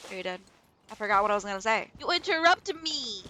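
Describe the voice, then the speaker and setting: A woman's voice: medium pitch, very animated, quick pace, bright, forceful tone. A young woman giving chatty, rambling, playful commentary as she plays a game. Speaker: gaming streamer. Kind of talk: casual let's play commentary. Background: game music.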